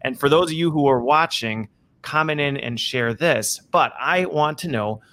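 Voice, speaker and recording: Chatty male host for a live video call, bright tone. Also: a little high, moderate pace. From American Midwest. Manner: upbeat, repeats okay and all right often. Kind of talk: casual live webinar chat.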